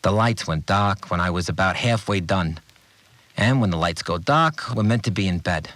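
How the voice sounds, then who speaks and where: An actor playing an obsessive, resentful cleaner confiding in a dramatic audio diary, agitated and brooding. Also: male voice, low voice, normal speed, a bit bright, dry recording. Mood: weary frustration. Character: obsessive, unhinged male cleaner, seething with murderous resentment. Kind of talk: dramatic first-person audio diary monologue.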